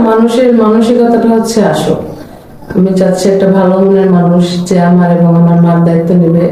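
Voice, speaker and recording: A woman's voice: on the low side, mellow. A young woman from Rajshahi, Bangladesh, answering interview questions in an earnest, firm way and repeating her point. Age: young adult. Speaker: interviewee seeking a marriage partner. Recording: very echoey room.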